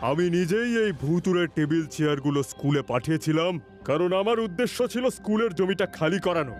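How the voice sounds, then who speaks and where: A man's voice: on the high side, fast talker, soft background music, lightly acted. A man voicing a bitter, scheming villain in a children's cartoon, with a theatrical delivery. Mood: menacing. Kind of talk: dubbed children's cartoon acting.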